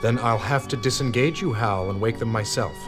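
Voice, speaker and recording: A man's voice: medium pitch, brisk, close to an everyday voice. A man playing a grave, resolute astronaut, terse and serious, in a children's read-along audio drama. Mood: firm. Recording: music under the voice.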